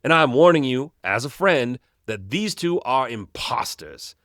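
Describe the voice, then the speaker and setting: A man voicing a stern, suspicious older male town doctor who speaks gravely with a folksy drawl. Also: medium pitch, lively intonation, a little slow, fairly bright tone, no room sound, studio quality. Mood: warning.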